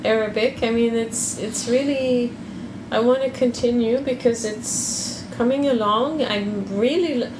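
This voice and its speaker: Hesitant woman, chatting casually, unhurried, roomy recording. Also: a little high. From Quebec. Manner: hesitant, many restarts and fillers. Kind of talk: casual chat. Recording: echoey room with background noise.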